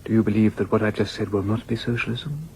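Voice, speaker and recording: An actor playing a fanatical, domineering male political leader in an audio drama, declaiming with dramatic pauses and hushed intensity. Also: on the low side, slight background noise, natural voice. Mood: stern.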